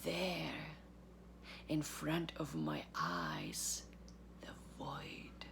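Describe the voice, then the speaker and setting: Very slow, gravelly low-voiced woman reading poetry. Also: wildly swooping pitch, noisy background. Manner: deliberate, measured phrasing with long pauses. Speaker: artistic director of a theatre, reading aloud.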